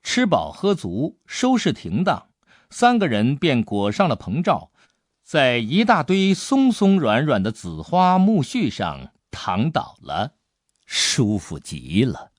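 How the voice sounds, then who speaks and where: A man's voice: mid-range voice, takes it slowly, dry recording, clean studio sound. Man narrating with widely swinging intonation. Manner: expressive storytelling. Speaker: audiobook narrator. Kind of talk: scripted dramatic story narration.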